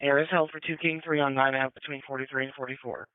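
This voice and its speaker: A man's voice: medium pitch, level tone, quick pace, clean tone, dry recording. A man working as a police dispatcher over two-way radio, tersely repeating reports back in clipped procedural phrases. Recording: narrow-band police scanner radio audio.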